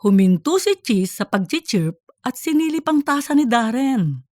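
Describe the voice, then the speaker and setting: A woman's voice: on the high side, big pitch swings, normal speed, darkish tone, no room sound, studio quality. A woman narrating a scripted comic audiobook story.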